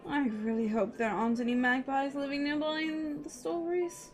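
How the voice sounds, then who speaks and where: A woman's voice: high-pitched, fairly even intonation, normal speed. A woman livestreamer reading a visual novel aloud and chatting casually, with playful, sweary asides. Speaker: livestreamer, VTuber. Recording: music behind the voice.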